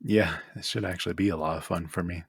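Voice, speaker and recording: A man's voice: low voice, steady tone, quick pace, vocal fry, husky, no echo, clean studio sound. A man on a podcast panel, talking casually.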